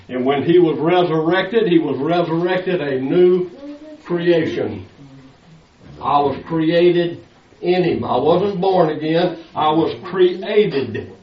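An older man preaching a sermon, pressing his listeners with rhetorical questions. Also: on the high side. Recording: echoey, distant, dull lo-fi sound.

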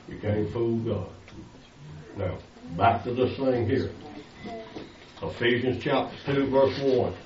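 Slow, fervent preacher in an echoey church. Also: male voice, mid-range voice, darkish tone. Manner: fervent, presses listeners with rhetorical questions. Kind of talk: sermon. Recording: echoey, distant, dull lo-fi sound.

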